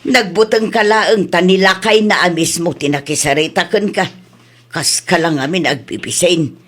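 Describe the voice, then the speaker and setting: A woman's voice: lowish voice, normal speed, a bit bright. A woman with an Ilocano accent playing a meek, pleading wife and mother in a comedy radio drama. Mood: reproachful.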